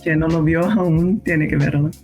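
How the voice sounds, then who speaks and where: A woman whose first language is Portuguese, a guest on a podcast, speaking in halting phrases and searching for words. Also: sits low, steady tone, a little mellow, soft background music. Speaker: guest astrologer. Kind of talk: casual podcast conversation.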